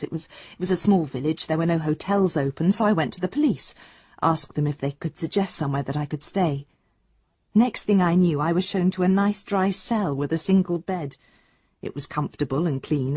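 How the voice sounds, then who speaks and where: A woman's voice: low-pitched, mellow, breathy edge, no room sound, highs cut off. An actress playing a candid, affectionate grown daughter in a radio drama, speaking a letter to her father in an intimate, reflective way.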